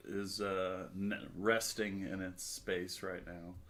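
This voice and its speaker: Bright-voiced middle-aged man chatting casually. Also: low voice, little pitch movement, takes it slowly. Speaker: podcast panelist. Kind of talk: casual chat, telling anecdotes.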